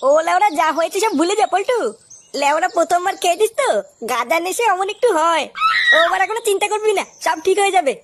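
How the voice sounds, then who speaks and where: A woman's voice: very high-pitched, expressive, quick pace. A woman voicing a cheeky, brash, foul-mouthed young boy in a crude comedy cartoon. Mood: coaxing.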